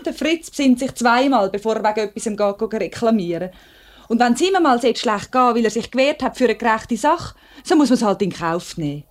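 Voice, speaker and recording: Earnest, higher-voiced Swiss wife played by an actress. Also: female voice, lively intonation, a little fast, lightly acted. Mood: reassuring, resolute. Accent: Swiss German. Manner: earnest, firm, reasoning. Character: loyal, plucky worker's wife with firm convictions. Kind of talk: 1950s Swiss radio drama acting.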